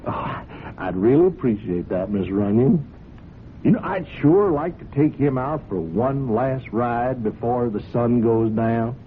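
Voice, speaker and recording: A man's voice: lively intonation, unhurried, close to an everyday voice. Male radio-drama role, actor playing: folksy, ingratiating ranch-country blackmailer who wheedles hesitantly; narrow-band, phone-like sound. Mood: grateful. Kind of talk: old-time radio drama acting. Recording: muffled narrow-band radio, rumbling background noise.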